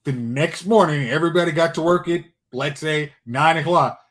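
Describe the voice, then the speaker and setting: A man's voice: a little high, moderate pace, fairly bright tone. A man co-hosting a podcast, in casual chat about pop culture. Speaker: podcast co-host.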